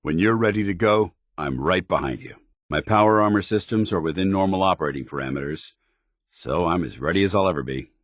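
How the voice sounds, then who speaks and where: A dutiful paladin in power armor from a video game. His voice is very deep and recorded very dry. He speaks with stern, formal military bearing, clipping his phrases like an officer. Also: dry recording. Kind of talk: video game companion dialogue acting.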